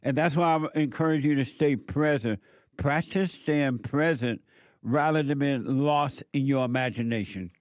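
A man's voice: medium pitch, normal speed, no echo. Older man hosting call-in radio. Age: 70s. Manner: blunt, preachy advice.

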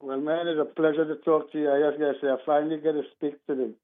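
Caller: man, rambling and earnest, fairly high voice over a tinny phone line. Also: little pitch movement, quick pace. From Trinidad. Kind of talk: call-in talk radio.

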